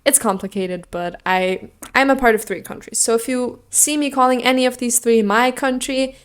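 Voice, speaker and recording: A woman's voice: fairly high pitch, moderate pace, clear, resonant tone. A young woman from Austria giving casual, opinionated commentary as a video host, emphatic and jokey, swearing freely. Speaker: video host giving song contest predictions.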